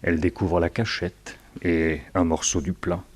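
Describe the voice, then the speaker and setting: An actor playing a scheming, taunting crook in a crime radio drama, speaking with deliberate, sardonic emphasis. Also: male voice, low-pitched, level tone, breathy edge. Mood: sly, taunting. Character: scheming male blackmailer, sly, taunting crook.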